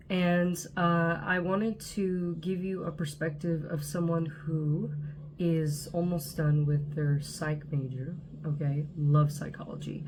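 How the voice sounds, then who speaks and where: A woman's voice: low voice, warm tone, a little hiss or hum, poor audio. A woman in her twenties, from Southern California, congested with a cold, talking casually to camera with frequent check-ins. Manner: chatty, frequent "okay?" check-ins and fillers. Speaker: channel host.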